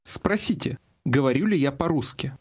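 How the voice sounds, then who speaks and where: A man's voice: mid-range voice, expressive, normal speed, breathy edge. A man narrating a scripted language lesson as the instructor, giving prompts in an instructional manner.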